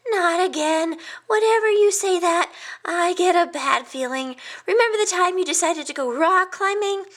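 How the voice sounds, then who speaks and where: A woman voicing a small, anxious male porcupine who squeaks out his worried warnings. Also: normal speed, fairly bright tone. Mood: fretful.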